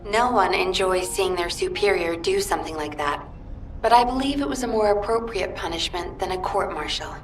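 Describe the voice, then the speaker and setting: A woman playing a dutiful military operations officer in a video game, speaking in a formal, measured, earnest way. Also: medium pitch, soft background music, natural voice, poor audio. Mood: earnest, confiding. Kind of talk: video game mission briefing.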